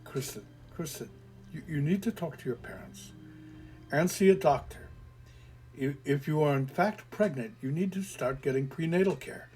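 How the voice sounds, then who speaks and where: A man reading an audio story, voicing a calm, skeptical grown man who patiently explains things away in a soothing, lecturing way. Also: on the high side, normal speed, soft background music, natural voice. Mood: patiently explaining.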